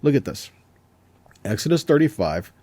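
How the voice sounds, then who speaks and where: A man's voice: medium pitch, very animated, moderate pace, mellow, no echo. A man giving an informal Bible teaching, conversational but emphatic, reading verses and checking in with rhetorical questions.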